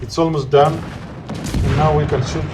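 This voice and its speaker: A man with a Greek accent, a non-native English speaker, narrating his gameplay in halting sentences full of "uh"s. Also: on the high side, fairly even intonation, music in the background. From Greece. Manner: halting, searching for words with frequent "uh". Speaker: gameplay commentator. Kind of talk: gameplay commentary.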